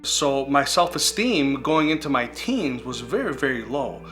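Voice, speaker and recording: A man's voice: medium pitch, expressive, a little slow, a bit bright. A man in his forties, Greek as his first language, earnestly telling his personal recovery testimony in a reflective way. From United States. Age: 40s. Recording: guitar music playing underneath.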